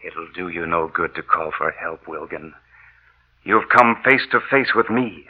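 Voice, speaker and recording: A very deep, slow undead avenger. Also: male voice, animated, breathy edge, full character voice. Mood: menacing. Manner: slow, ominous, deliberate, repeating himself. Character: vengeful walking dead man, cold and relentless. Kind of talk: 1940s radio horror acting. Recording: muffled old 1940s radio recording.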